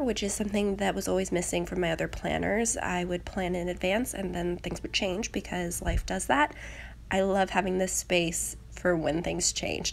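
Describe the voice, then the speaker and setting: A young woman, slightly raspy as she gets over a cold, chattily narrating a craft video in a casual, self-deprecating way. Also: medium pitch. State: getting over a rough cold. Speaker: planner channel host.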